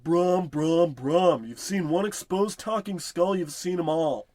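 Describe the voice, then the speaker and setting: A man's voice: fairly high pitch, a little slow, darkish tone, no room sound, lightly acted. An actor playing a cocky, bossy salesman in a parody old-time radio comedy, patronizing and oblivious. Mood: dismissive, patronizing.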